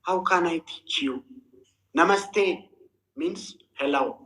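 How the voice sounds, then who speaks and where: A man's voice: high-pitched, slow, fairly bright tone. Echoey man hosting a casual livestream. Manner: keeps urging viewers to tap and share. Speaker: livestream host.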